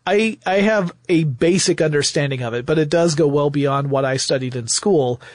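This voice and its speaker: A man's voice: fairly high pitch, moderate pace, dry recording. A man hosting a technology podcast, explaining technical detail conversationally and with enthusiasm into a close microphone. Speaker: podcast host. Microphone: close studio microphone.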